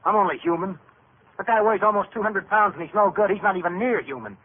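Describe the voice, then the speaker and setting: An actor playing a hot-tempered police detective, defensive and clipped, in an old-time radio drama. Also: male voice, sits high, fast talker, husky, narrow, tinny audio. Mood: indignant. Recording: phone-thin vintage radio recording.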